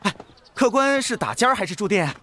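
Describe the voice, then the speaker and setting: A man's voice: high-pitched, expressive, fairly quick, fairly bright tone, a little hiss or hum. A man playing an eager, obliging inn waiter in a Chinese period audio drama, with singsong patter for customers.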